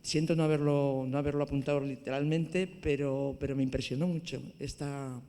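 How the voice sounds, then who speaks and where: Hombre, lector titubeante, se corrige a menudo; tono entusiasta, sala reverberante. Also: male voice, medium pitch, fairly even intonation, normal speed, warm tone. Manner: hesitant, enthusiastic, many restarts. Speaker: book club member. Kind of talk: book club discussion.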